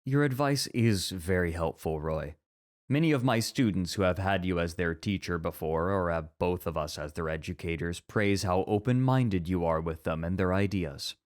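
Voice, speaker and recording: Low-voiced, thoughtful male academic played by an actor, composed and formal, for ASMR roleplay. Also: normal speed, close to an everyday voice. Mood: calm, fond. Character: composed, introspective, scholarly male college teacher.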